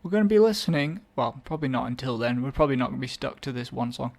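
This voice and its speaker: A man's voice: medium pitch, lively intonation, racing, a little mellow. A young English man hosting a casual solo music show, rambling and correcting himself with wry asides. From England. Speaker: podcast host. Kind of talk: casual solo chat introducing songs.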